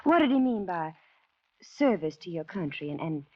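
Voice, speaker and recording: A woman acting a gracious, anxious Southern plantation wife with a Southern American accent and husky voice in an old-time radio drama. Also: lowish voice, sing-song, moderate pace. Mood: worried, insistent. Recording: dull vintage radio recording.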